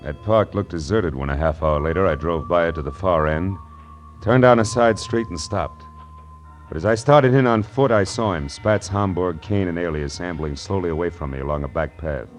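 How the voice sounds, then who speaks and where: Man playing a wisecracking American gumshoe for vintage radio crime drama, deep-set voice, sardonic and streetwise. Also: moderate pace, faint music behind, close to an everyday voice. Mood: wry, brisk. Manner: wry, tough, slangy. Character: hard-boiled, wisecracking male private detective. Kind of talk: 1940s radio noir narration and dialogue.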